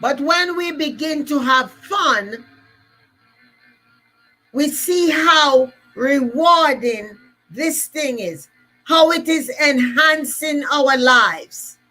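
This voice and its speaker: A woman's voice: sits high, animated, extremely slow, sharp tone, poor audio. A woman with a Jamaican accent giving an emphatic, repetitive motivational talk to listeners. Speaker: motivational speaker.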